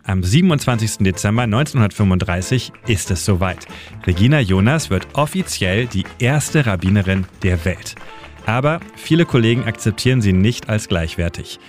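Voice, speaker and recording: A man reading a scripted historical radio story in short, pointed sentences. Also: on the low side. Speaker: radio editor and city guide.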